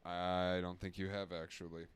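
A man's voice: low-pitched, little pitch movement, normal speed, clean tone. A young man co-hosting a podcast, chatting casually with a dry, joking manner. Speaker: podcast co-host. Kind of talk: casual chat.

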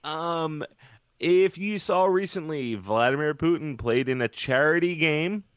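Dry deadpan male podcast co-host. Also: a little high, a little slow, smooth, no echo. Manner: wry, deadpan storytelling. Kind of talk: casual comedic sports chat.

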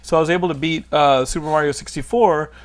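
A man in his thirties hosts a podcast in a somewhat high voice. He talks in excited bursts, laughing and swearing as he jokes with his co-hosts. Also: quick pace. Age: 30s. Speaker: podcast host. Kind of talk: casual chat.

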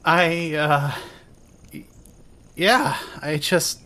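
A woman playing a drunk, self-deprecating, emotionally frayed young woman in a fan audio drama, halting and stammering as she goes. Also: deep voice, a bit bright, exaggerated voice. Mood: sheepish, frayed.

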